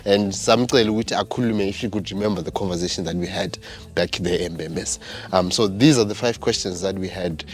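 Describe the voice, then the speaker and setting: Male host, very deep, halting delivery, soft music underneath. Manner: hesitant, many ums and uhs. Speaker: show host. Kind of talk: casual spoken introduction.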